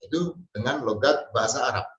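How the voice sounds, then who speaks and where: A man's voice: medium pitch, lively intonation, fairly bright tone. Man teaching in a roomy echo. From Central Java, Indonesia. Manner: slow, hesitant, with many fillers and drawn-out pauses. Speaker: Arabic teacher. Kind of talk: spoken language lesson. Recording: distant mic, roomy and dull sound.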